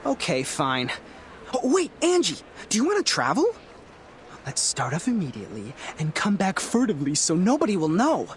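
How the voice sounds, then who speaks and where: A man's voice: high-pitched, huge pitch swings, normal speed, a little hiss or hum. An actor playing a chatty, eager young man in a video game, with a theatrical, persuasive manner. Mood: eager, coaxing.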